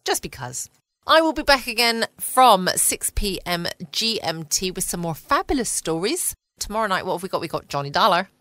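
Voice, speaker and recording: A woman's voice: mid-range voice, big pitch swings, normal speed, sharp tone, no room sound. A woman casually hosting a late-night bedtime podcast, recorded at home. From United Kingdom. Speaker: podcast host. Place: at home, close dry recording.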